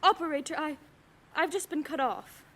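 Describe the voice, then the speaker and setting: A woman's voice: sits high, moderate pace, very noisy, natural voice. A woman playing a nervous, imperious invalid wife in a suspense radio play, melodramatic and insistent. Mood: horrified. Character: nervous, imperious bedridden wife, alone and increasingly alarmed.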